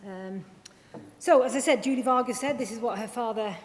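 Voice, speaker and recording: A woman speech and language therapist giving a chatty conference presentation, full of quick asides and self-deprecating remarks. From Wales. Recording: reverberant hall with faint rumble.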